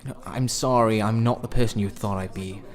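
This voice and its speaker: An actor playing a troubled, self-loathing drifter in a radio drama, with a British accent and a sardonic, brooding manner. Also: male voice, on the low side, steady tone, fairly quick, close to an everyday voice. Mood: exasperated. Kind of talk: naturalistic radio drama acting. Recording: crowd chatter behind the voice.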